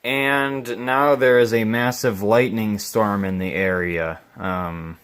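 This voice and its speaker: Slow-talking man with a bright, low voice. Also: fairly even intonation, smooth. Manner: thinking aloud, musing and puzzled, halting. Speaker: hobbyist repairing a vintage radio on video. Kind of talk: casual unscripted workbench commentary.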